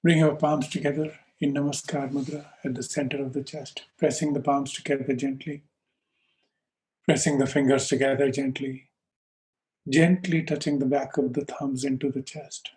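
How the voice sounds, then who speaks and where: A man teaching yoga and guiding a meditation in slow, calm, measured short phrases with pauses between them. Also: medium pitch, low-quality recording. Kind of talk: slow guided meditation instruction.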